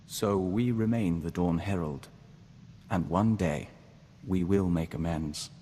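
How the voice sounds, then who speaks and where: A serene game diplomat bonded to an insect hive, his pitch deep. He speaks formally and carefully, as a collective "we." The recording has long reverb and some background noise. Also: mellow. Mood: calm, reflective. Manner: formal, measured, speaks of himself as we. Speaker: game companion character. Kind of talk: scripted game dialogue acting.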